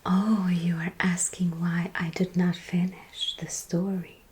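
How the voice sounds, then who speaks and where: A woman voicing a teasing female vampire who tells scary stories in a soft, whispered ASMR roleplay. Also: on the low side, flat delivery, takes it slowly.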